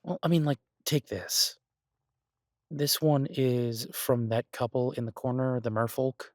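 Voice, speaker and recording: Exasperated chef boyfriend, male actor, mid-range, grumbling and halting, intimate roleplay monologue, very dry recording. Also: medium pitch, darkish tone, no room sound, close to an everyday voice. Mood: incredulous. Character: exasperated restaurant chef, affectionate boyfriend venting to partner. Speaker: audio roleplay performer.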